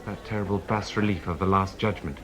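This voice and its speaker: An actor playing an earnest, loyal gentleman in a radio drama, speaking in a polite, clipped, direct way. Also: low voice, brisk. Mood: pleading, reproachful.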